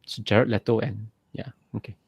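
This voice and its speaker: Man, podcast co-host, jokey and animated, dry close mic. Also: fairly low pitch, moderate pace, creaky, breathy edge, no room sound, highs cut off. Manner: animated, repeats words, jokey. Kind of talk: casual chat.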